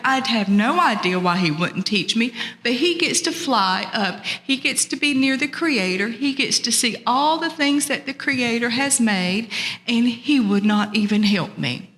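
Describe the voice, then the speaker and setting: A woman's voice: medium pitch, a bit bright, pitched above the performer's own voice. A woman voicing a frustrated, insistent male turtle who longs to fly, in an oral folktale. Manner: insistent, complaining. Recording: long reverberant hall sound.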